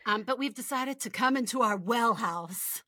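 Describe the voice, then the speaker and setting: Bright, high-voiced Texan woman bantering casually. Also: moderate pace, dry recording. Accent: Texan American. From West Texas, United States. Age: 40s. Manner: informal, bantering, emphatic. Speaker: podcast co-host. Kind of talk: casual comedic chat.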